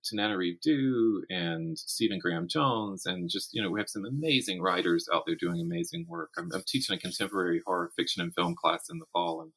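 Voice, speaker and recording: An American man in his fifties answering interview questions conversationally, thinking aloud in a candid, animated way. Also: deep voice, brisk, hardly any pauses, slightly rough, low-quality recording. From South Carolina, United States. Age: 50s. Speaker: interview guest, horror writer and teacher.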